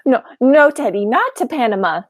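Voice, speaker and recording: A young woman in an amateur radio play, playing a genteel old aunt who is polite, fussing and gently firm. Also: a little high, sing-song, moderate pace, dry recording, clean studio sound. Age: young adult.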